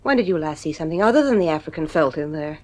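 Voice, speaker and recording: A woman's voice: deep voice, lively intonation, rapid-fire, darkish tone. An actress playing an anxious, weary wife and mother in a 1950s radio drama. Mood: troubled, intense. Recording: vintage radio drama recording.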